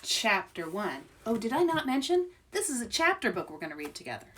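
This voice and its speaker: A woman's voice: on the high side, big pitch swings, a little fast, a bit bright, far from the mic. A woman hosting story time for young children, reading aloud expressively and speaking directly to her listeners.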